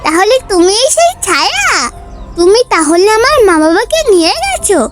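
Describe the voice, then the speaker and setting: A woman's voice: very high-pitched, sing-song, moderate pace, over loud music. A woman voicing a small, earnest, protective youngster in a fairy tale cartoon. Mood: pleading, defiant.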